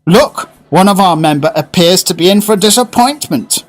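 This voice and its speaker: An actor playing a solemn, eccentric, solitary male gourmet in a radio drama, speaking in formal, deliberate, florid phrases. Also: high-pitched, animated. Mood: excited.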